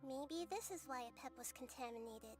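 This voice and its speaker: A youthful, wise goddess from a video game, played by an actress, speaks in a high voice. She is calm and measured as she explains, with faint music underneath. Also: close to an everyday voice. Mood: calm, thoughtful. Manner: measured, thoughtful storytelling. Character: calm, wise, youthful female deity explaining ancient lore. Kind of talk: scripted game dialogue.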